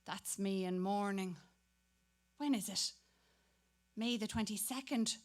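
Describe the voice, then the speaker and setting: A woman's voice: medium pitch, takes it slowly, lightly acted. An Irish woman playing a grumbling, daydreaming Dublin schoolboy in a dramatic reading, talking in slangy, colloquial monologue. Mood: cheeky daydreaming.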